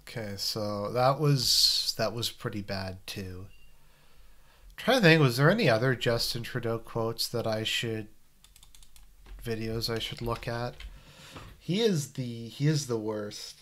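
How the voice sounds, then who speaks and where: A man's voice: medium pitch, slow pace, fairly bright tone. A man giving sarcastic, rambling commentary on a casual live stream while reacting to clips.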